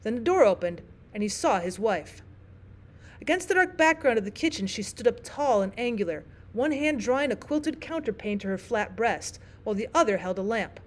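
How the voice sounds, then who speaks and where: A woman teacher narrating a classic novel aloud in a steady, careful reading. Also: a little high, moderate pace. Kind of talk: audiobook narration.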